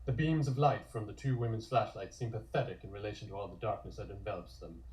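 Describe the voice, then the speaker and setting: A man reading scripted narration for a comic-book table read in a measured, descriptive way. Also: on the low side, a little mellow.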